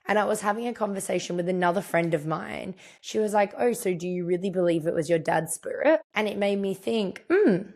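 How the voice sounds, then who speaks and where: A young woman with a croaky, partly lost voice, chatting reflectively as a podcast host and voicing her own thoughts as she tells a story. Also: mid-range voice, a little mellow. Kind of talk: casual storytelling monologue.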